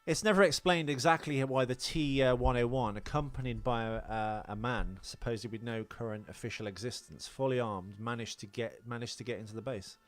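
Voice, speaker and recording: A man's voice: mid-range voice, a little fast, few pauses, dry recording. Man rambling through casual film trivia. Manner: rambling, easily sidetracked storyteller. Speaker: podcast co-host.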